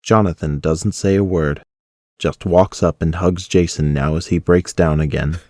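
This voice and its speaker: A man's voice: deep voice, steady tone, measured pace, darkish tone, no room sound, clean studio sound. A man narrating a scripted audio drama as its storyteller.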